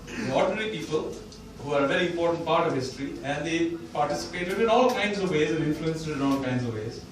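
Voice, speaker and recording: Guy reading from his book at a launch, stops and restarts a lot, far from the mic, lots of room echo. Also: on the high side. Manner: thoughtful, with pauses and restarts. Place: bookstore event room, indoors. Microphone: distant mic or none.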